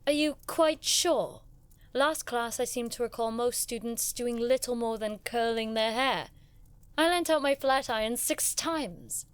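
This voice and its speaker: A woman's voice: fairly high pitch, measured pace, clean tone, dry recording, close to an everyday voice. A woman acting in an audio drama as a proud, witty young noblewoman who studies magic, speaking in a wry, formal, haughty way. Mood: brisk. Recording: music behind the voice.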